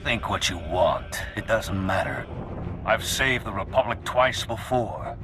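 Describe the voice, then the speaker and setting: Redeemed game Jedi, deepish voice, solemn, intense, deliberate, haunted zealot. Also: male voice, lively intonation, music in the background. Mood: defiant. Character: fallen, redeemed male Jedi, grave, haunted, resolute zealot. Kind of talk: dramatic video game acting.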